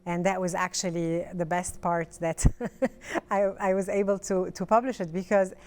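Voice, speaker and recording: A woman's voice: lowish voice, little pitch movement, normal speed, darkish tone, clear, resonant tone. A middle-aged woman from Lebanon, French her first language, chatting as an author in an interview, with hesitant speech and frequent restarts. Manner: hesitant, restarts often, fillers. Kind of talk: casual interview chat.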